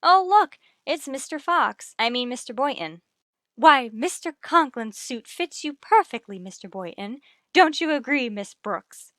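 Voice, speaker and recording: A gushing, bossy middle-aged wife who loves matchmaking. She speaks high and with swooping, theatrical emphasis, like old radio comedy. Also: lively intonation, a little slow, fairly bright tone, no room sound, clean studio sound. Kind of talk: 1940s-50s radio sitcom acting.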